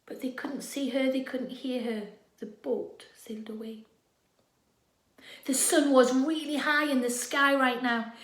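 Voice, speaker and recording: High-voiced, slow-paced woman storyteller. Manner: expressive, swinging from hushed to loud. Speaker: storyteller, primary school staff reading to children. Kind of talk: dramatic children's storytelling. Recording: echoey room.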